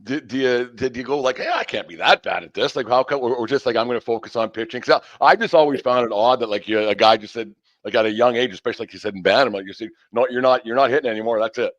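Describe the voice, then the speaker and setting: A man's voice: mid-range voice, fast, dry recording, lo-fi sound. A man in his fifties hosting a casual sports interview, chatty, rambling and joking at his own expense. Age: 50s. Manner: rambling, joking and self-deprecating. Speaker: sports show host and interviewer.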